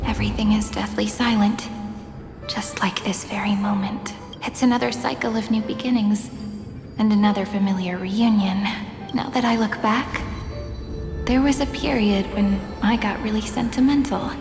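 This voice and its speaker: Soft, wistful young woman in echoing reverb voiced by an actress. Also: mid-range voice, fairly even intonation, moderate pace, natural voice. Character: gentle, wistful young woman, devoted keeper of memories. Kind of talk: dramatic video game voice acting. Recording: long echoing hall reverb, music behind.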